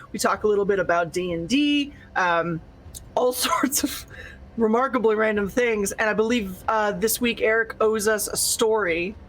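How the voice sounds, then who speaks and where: Female streamer, higher pitch, goofy giggly delivery, casual and foul-mouthed group banter. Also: a bit bright. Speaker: co-host of a tabletop gaming stream.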